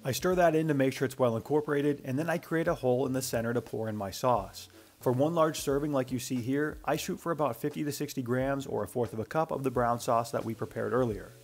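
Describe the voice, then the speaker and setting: A man in his twenties narrating a cooking tutorial as a voice-over, brisk and matter-of-fact with dry humor. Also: mid-range voice. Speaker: cooking channel host.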